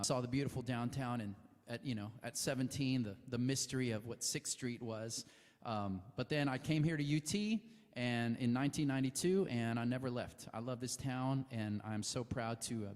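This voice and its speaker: A man's voice: medium pitch, darkish tone, in a large hall. A man from Texas, a musician, talking casually and telling stories before he plays. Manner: friendly, rambling storytelling with fillers. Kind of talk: casual stage talk before a performance.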